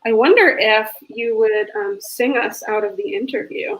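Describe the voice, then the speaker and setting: A woman hosts a relaxed video-call interview, her voice sitting a little high. She talks in an upbeat, encouraging way. Her sentences run on with ums and you-knows. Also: moderate pace, low-quality recording. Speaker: host.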